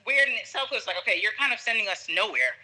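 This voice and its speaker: Very bright-voiced woman telling a travel story. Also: medium pitch, racing, slightly rough. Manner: animated storyteller, acts out quotes, laughs often. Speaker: guest, travel blogger. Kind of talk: casual storytelling.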